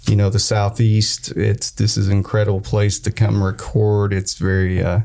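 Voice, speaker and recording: Southern dude hosting a podcast, chatty, laughs a bunch, bit of faint noise behind him. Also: male voice, fairly low pitch, monotone, normal speed, gravelly, mellow. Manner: chatty and self-deprecating, laughs often. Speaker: podcast host. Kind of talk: casual interview chat. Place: recording studio.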